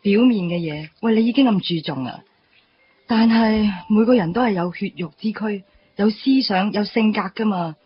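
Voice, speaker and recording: A woman's voice: mid-range voice, moderate pace, dull audio, slight background noise, close to an everyday voice. An actress playing an outspoken, playful young woman in a Cantonese radio drama, chatty and chiding, with a Hong Kong accent. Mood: indignant, chiding.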